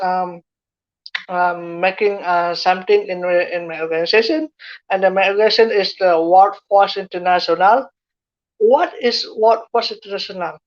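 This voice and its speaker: A woman's voice: mid-range voice, lo-fi sound. A woman from Indonesia with an Indonesian accent, a guest youth speaker answering interview questions in English with many fillers and restarts. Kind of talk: online interview.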